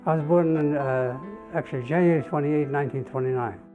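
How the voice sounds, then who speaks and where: An elderly man in his eighties recounts his memories in a fairly high voice, pausing often and repeating phrases. The recording sounds narrow and phone-like, with faint music behind him. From Poland and Czechoslovakia. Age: 80s. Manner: pauses with fillers, repeats himself. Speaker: Holocaust survivor, interviewee. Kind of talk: testimony interview.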